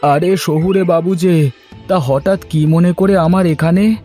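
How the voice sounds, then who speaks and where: Man playing an earnest, higher-voiced village farmer. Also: steady tone, a little slow, mellow, faint music behind. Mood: surprised, wary. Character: earnest, humble village farmer, kind and emotional. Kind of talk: cartoon story voice acting.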